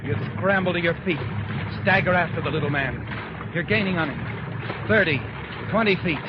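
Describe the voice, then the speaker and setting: A man's voice: fairly high pitch, normal speed, noisy background, close to an everyday voice. A man playing a sinister, taunting narrator in a 1940s radio thriller, addressing the guilty one directly. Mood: taunting, urgent. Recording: muffled 1940s radio recording.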